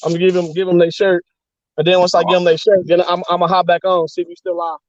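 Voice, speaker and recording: A man joining a livestream as a guest, chatting loosely and quickly in slang. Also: sits high, completely flat delivery, no room sound. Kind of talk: casual call-in chat. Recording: dull dry remote call audio.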